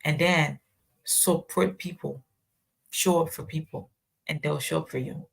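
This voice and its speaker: Reflective woman with a lowish voice. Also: fairly even intonation, measured pace, creaky, slightly rough. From Ghana. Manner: reflective, tells personal anecdotes. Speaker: podcast guest. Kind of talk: conversational storytelling.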